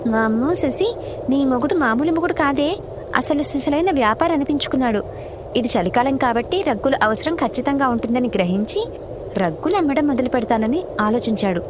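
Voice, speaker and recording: A woman's voice: high voice, animated, brisk, background noise, pitched above the performer's own voice. A woman voicing a scheming, nagging parrot wife in a cartoon story, complaining dramatically. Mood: excited scheming.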